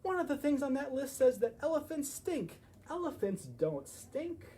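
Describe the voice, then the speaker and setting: Guy doing kids story time, super expressive up and down voice, bit of background noise. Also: extremely high pitch, normal speed. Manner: expressive, child-directed. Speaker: library story time presenter. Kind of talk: animated picture-book reading with asides.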